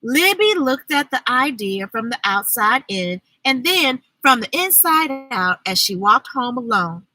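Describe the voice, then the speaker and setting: A woman reading a children's story aloud, expressive and deliberate, adding her own asides. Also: fairly high pitch, low-quality recording. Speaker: storyteller, host.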